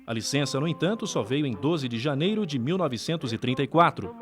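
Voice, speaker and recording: A man's voice: mid-range voice, expressive, fast. A man with a Brazilian accent reads scripted documentary narration. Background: background music.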